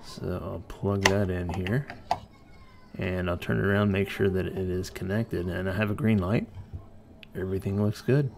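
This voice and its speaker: A man's voice: sits low, fairly even intonation, moderate pace, gravelly, a little hiss or hum. A man presenting an informal hands-on tech tutorial, explaining plainly step by step.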